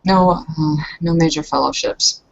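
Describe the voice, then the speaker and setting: A woman voicing a stoic, ashamed young female prisoner in a tabletop roleplay, subdued and halting. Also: deep voice, steady tone, gravelly. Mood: hesitant, defensive. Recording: dull, slightly noisy online call audio.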